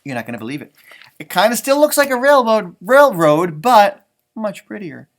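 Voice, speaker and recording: A man's voice: sits high, very animated. A man talking casually and enthusiastically to children during a read-aloud, chatty, stretching words for emphasis like an elementary school teacher. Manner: enthusiastic, chatty, drawn-out emphasis, talking directly to young listeners.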